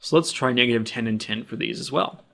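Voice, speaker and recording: A man narrating a software tutorial in a plain, instructional way, explaining each step as he does it. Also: mid-range voice, quick pace, creaky. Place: voice-over of a screen recording.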